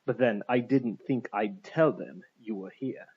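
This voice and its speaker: Storybook villain: husky aristocratic captain, played by a male narrator, formal, mocking politeness, slightly lo-fi. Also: fairly low pitch, measured pace. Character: suave, menacing aristocratic male army captain. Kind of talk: audiobook character voice.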